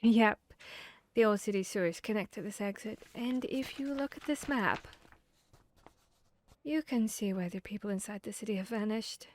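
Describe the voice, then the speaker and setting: A woman's voice: medium pitch, moderate pace, darkish tone, lightly acted. A woman playing an earnest, bookish vampire hunter who thinks aloud and gets slightly flustered, in a conversational ASMR audio roleplay. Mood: earnest explaining.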